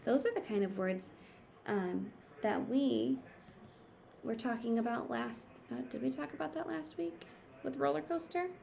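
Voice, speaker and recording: A woman's voice: a little high, a little slow, gravelly, darkish tone. A woman reading a picture book aloud like a teacher, expressively, with simple explanatory asides for children.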